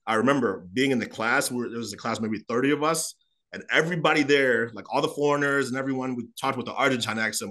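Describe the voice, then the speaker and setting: A man in his thirties from Chicago talks quickly and fluidly on a video call, his voice sitting a little low. He is an interview guest recounting his story in a relaxed, animated way, gesturing as he speaks. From Chicago, United States. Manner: fast, animated, gestures a lot while talking. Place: small room at home.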